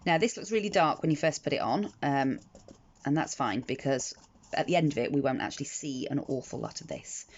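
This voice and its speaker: A British woman sounding croaky in the morning, chatting her way through a craft tutorial with frequent ums and restarts. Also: a bass voice, brisk. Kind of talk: casual step-by-step craft tutorial. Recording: slightly dull lo-fi audio with faint noise.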